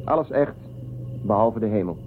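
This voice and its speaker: A man's voice: fairly low pitch, expressive, moderate pace, natural voice. A man playing an earnest, polite spaceship crewman turned orchard worker in a science fiction radio drama. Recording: lo-fi phone-like band, music behind.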